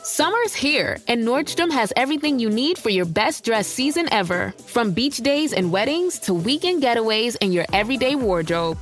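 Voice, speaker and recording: Ad lady, kinda high voice, reading a store promo over soft music. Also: very animated, normal speed, hardly any pauses. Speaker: advertisement voice-over. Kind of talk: scripted retail ad read.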